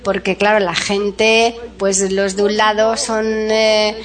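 A woman's voice: mid-range voice, level tone, takes it slowly. A woman from Spain with a southern Spanish accent, chatting casually and explaining things in a talkative way as a host. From Spain, Seville area. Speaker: host of a music programme. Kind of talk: casual chat about old records.

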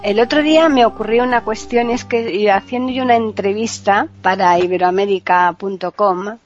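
A woman with a Basque Spanish accent, a chatty, lively storyteller co-hosting a radio show in casual talk. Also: mid-range voice, moderate pace. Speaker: radio co-host and singer. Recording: dry, dull lo-fi sound.

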